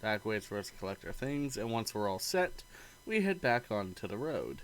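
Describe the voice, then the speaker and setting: A man's voice: on the low side, big pitch swings, moderate pace. A man reading a visual novel aloud in a let's play, narrating the story and acting out the dialogue expressively.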